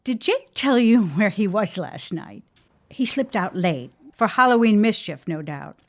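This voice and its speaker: Nosy, fond shopkeeper mother, middle-aged, folksy, animated mid-range delivery, radio play. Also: mid-range voice, unhurried. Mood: nosy, teasing. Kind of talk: period audio drama acting.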